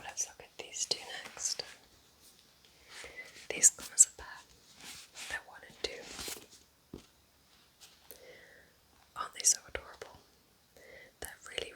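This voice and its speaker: A British woman whispering softly in an unhurried, chatty ASMR style, gently rambling as a host. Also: low-quality recording. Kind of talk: whispered ASMR, gentle roleplay book chat.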